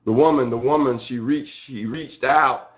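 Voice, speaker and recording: A man preaching an excited church sermon in a halting way, repeating phrases and punctuating them with amen and hallelujah. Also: medium pitch. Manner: excited, fervent. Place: church service. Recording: dull, lo-fi sermon recording.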